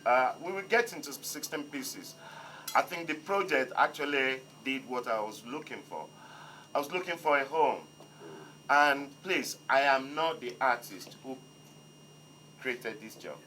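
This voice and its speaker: A man's voice: mid-range voice, expressive, a little slow, bright, forceful tone, a little hiss or hum, low-quality recording. A man who is not an English speaker, giving a hesitant, apologetic speech as curator at a museum exhibition opening. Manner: hesitant, apologetic, with many ums. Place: museum hall.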